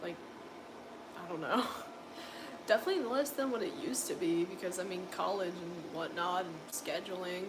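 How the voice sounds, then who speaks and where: A young woman from coastal North Carolina with a deep voice, chatting casually on a livestream and answering viewers' comments with lots of filler words. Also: normal speed, background noise. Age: young adult. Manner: rambling, filler-heavy, exasperated. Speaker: livestream host.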